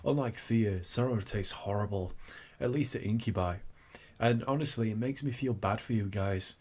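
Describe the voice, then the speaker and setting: A husky incubus demon, acted out by a man, speaking in a fairly low voice. He is suave and theatrical, a teasing seducer with a tender side, performed as an intimate ASMR roleplay character. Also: normal speed, darkish tone. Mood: apologetic, sympathetic. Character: seductive, cocky male incubus demon turning flustered and tender.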